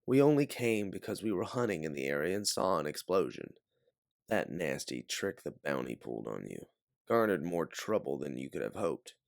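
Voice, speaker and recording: A man's voice: a bass voice, very animated, normal speed, vocal fry, no room sound, clean studio sound, full character voice. A man voicing a mysterious raider who is eager to help as a guide, earnest and persuasive, in an audiobook. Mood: wary, placating. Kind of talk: audiobook character voice.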